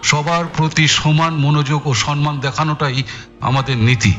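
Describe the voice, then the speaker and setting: Casting: male actor as irritable detective, sharp high-set voice, condescending and sarcastic, audio thriller. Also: fairly even intonation, moderate pace, lo-fi sound, faint music behind, close to an everyday voice. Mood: condescending, dismissive. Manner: gruff, condescending, punctuating with weary sighs. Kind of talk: Bengali audio thriller acting.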